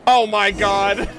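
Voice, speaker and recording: A streamer giving casual, playful live commentary on a video game in slangy, sweary language. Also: medium pitch. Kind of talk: casual livestream commentary with joking. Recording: faint game music behind the voice. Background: video game music.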